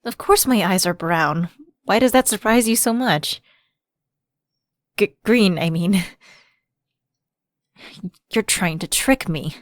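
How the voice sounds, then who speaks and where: A woman's voice: mid-range voice, no echo, clean studio sound. A woman playing a personal assistant who is secretly a fallen angel, evasive and coaxing, in a conversational ASMR roleplay.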